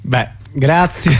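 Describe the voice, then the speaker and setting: A man's voice: fairly high pitch, husky. A man presenting casually as host of a radio show in a studio.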